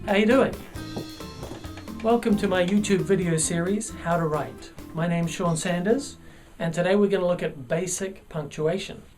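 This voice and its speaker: A man's voice: sits high, moderate pace, a little mellow, soft background music. A man with a New Zealand accent, a college writing teacher, explaining the basics of grammar in a video lesson with earnest emphasis.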